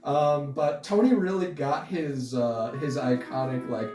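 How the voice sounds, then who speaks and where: A young man chatting casually as an interview guest, rambling and halting as he talks. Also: fairly high pitch, darkish tone. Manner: rambling, halting, chuckles often. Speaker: interview guest, online animation creator. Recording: roomy echo, distant mic, music behind.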